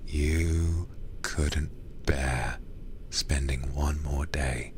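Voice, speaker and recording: A man playing a seductive, menacing demon lord in an ASMR roleplay, teasing and condescending in a breathy voice with drawn-out pauses. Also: fairly even intonation, a little mellow, a little hiss or hum, exaggerated voice. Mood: smug, seductive. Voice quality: very deep, breathy whisper-heavy voice. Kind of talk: ASMR roleplay, close whispered delivery.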